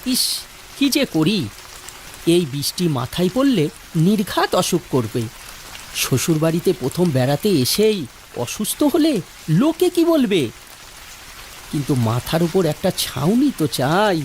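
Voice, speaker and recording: An anxious but kindly young village man, played by a male actor in a horror cartoon. He speaks slowly with animated, exclamatory swings in pitch, over faint rain. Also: a little hiss or hum, exaggerated voice. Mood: fretful. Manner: exclamatory, fretful, talking to himself. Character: anxious kindly young married villager travelling home alone.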